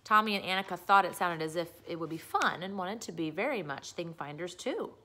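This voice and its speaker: A woman's voice: fairly low pitch, lively intonation, a little fast, pushed and bright, slight background noise. A woman reading a children's book aloud as a storyteller, narrating the story.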